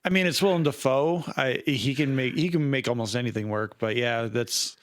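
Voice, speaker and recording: A man with a medium-pitched voice talks casually as a podcast co-host. His delivery is wry and opinionated. The recording is very dry, free of room sound. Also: moderate pace, no room sound. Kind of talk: casual film and game commentary.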